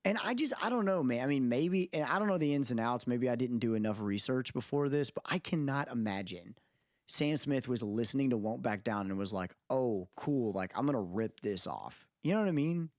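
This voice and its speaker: A man's voice: medium pitch, brisk, dry recording, clean studio sound. A man chatting casually as a podcast co-host, arguing his point in informal, slangy language. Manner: animated, argumentative, informal slangy talk.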